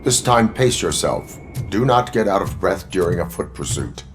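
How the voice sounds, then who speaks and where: An actor playing a stern, by-the-book cyborg police officer in a video game, speaking in a formal, measured, deadpan way. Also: male voice, sits low, soft background music. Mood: stern, instructive. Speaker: police field training officer.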